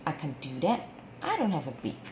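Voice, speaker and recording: A woman's voice: low-pitched, huge pitch swings, fairly quick. Woman voicing a stubborn, plaintive little girl tortoise. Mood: sad, discouraged. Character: stubborn, sleepless young female tortoise. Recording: roomy with clear background noise.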